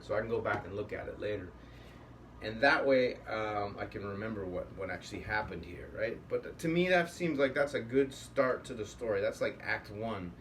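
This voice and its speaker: A man hosting a workshop in a casual, improvised way, rambling as he thinks aloud. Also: on the low side. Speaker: puppetry workshop host. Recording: distant mic with faint room noise.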